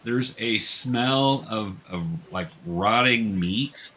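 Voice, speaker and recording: A man's voice: on the low side, extremely slow, sharp tone. A man guiding players through an online tabletop role-playing game, explaining patiently step by step with some hesitation. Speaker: dungeon master, teacher.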